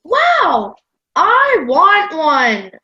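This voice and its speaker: A woman playing an excitable, curious, blunt young grandchild in an amateur radio play. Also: high voice, slow pace, lo-fi sound, full character voice. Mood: excited. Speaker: student radio play performer.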